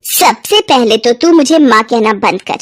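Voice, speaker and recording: A woman's voice: a little high, lively intonation, unhurried, no room sound, clean studio sound. A woman voicing a stepmother in a moral story, bossy and resentful, who dotes on her son. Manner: scolding, bossy.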